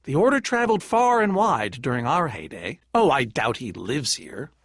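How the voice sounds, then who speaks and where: A man's voice: mid-range voice, very animated, moderate pace, a bit bright, no room sound, clean studio sound. A man playing a video game's aging legendary architect, a grandiose, evasive former leader who is given to stammering. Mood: calm, explanatory. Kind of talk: video game character acting.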